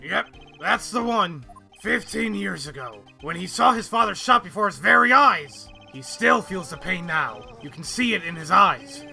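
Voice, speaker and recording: Gravelly, high-pitched man acting a gruff police detective. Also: animated, moderate pace, pushed and bright, soft background music, exaggerated voice. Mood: earnest, gruff. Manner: blunt, folksy, calls people pal. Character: gruff, soft-hearted male police detective, folksy and loyal. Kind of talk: visual novel game fan dub acting.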